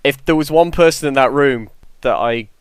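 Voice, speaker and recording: A man's voice: mid-range voice, normal speed, clear, resonant tone, no room sound, clean studio sound. A man speaking casually and earnestly as a panelist on a podcast, in a reflective way.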